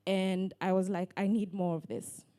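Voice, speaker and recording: Woman with a mellow voice. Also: mid-range voice, moderate pace. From Kenya. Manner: animated storytelling with many fillers. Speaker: panelist, online book lover. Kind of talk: casual panel talk.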